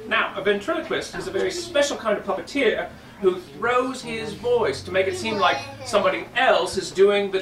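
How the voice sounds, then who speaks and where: A man playing a bumbling, chatty ventriloquist who tells children a comic story with halting, flustered asides. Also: high-pitched, a bit bright. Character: bumbling, chatty male ventriloquist puppet-show presenter named Nigel. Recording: echoey, noisy room, distant microphone.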